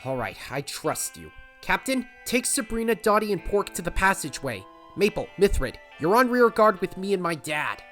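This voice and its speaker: A woman's voice: mid-range voice, sing-song, normal speed, hardly any pauses, fairly bright tone, faint music behind. A woman voicing a plucky, brash and loyal young male raccoon adventurer in an audio drama. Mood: bold.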